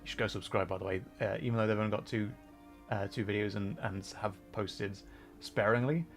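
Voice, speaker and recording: A man hosting a livestream, chatting casually in a hesitant, thoughtful, rambling way with frequent pauses while he plays a game. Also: on the low side, steady tone. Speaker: livestream host. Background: background music.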